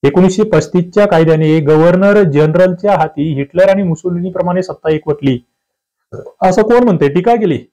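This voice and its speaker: A man's voice: high-pitched, a little fast, dark tone, rough recording. A man with a Maharashtrian accent teaching an exam-prep lesson, reading questions and answers aloud and stressing points with rhetorical questions. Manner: instructive, emphatic, often asks rhetorical questions.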